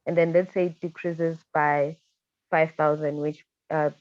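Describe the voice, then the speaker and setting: A woman's voice: low-pitched, little pitch movement, unhurried. A woman speaking as a student, tentatively asking her tutor questions and often checking she is understood, on dull, roomy online-call audio. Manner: tentative, checks with a tag "right?". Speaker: accounting student.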